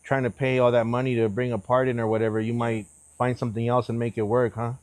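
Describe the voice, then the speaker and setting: A man's voice: mid-range voice, level tone, fast, darkish tone, no echo. Informal male interviewer, dry sound. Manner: informal, slangy. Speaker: host, interviewer. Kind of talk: casual friendly interview.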